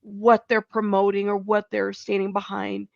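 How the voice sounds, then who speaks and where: A woman hosting an interview asks casual, curious questions. Her voice sounds slightly dull and lo-fi in a dry recording. She adds quick backchannels while her guest talks. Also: mid-range voice, monotone, gravelly, no room sound. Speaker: interview host. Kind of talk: conversational interview.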